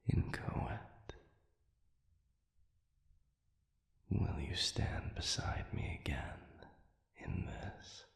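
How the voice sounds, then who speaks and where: A man playing an ancient, grave demon in a dramatic audio roleplay, speaking in a breathy half-whisper with halting, formal, solemn diction. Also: reverberant, natural voice. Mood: solemn, pleading.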